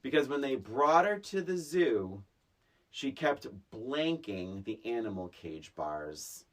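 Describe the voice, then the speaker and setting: Female co-host, exceptionally low husky register, lively intonation, emphatic conversational delivery. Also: takes it slowly. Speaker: podcast co-host. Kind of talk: casual chat reviewing a film.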